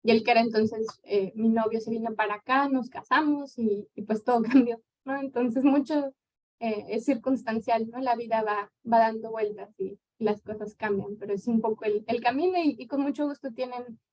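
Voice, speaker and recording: Mexican woman chatting relaxed in Q&A. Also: fairly high pitch, moderate pace, rough recording. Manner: conversational, relaxed, tag questions. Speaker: guest lecturer. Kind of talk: conference Q&A answer.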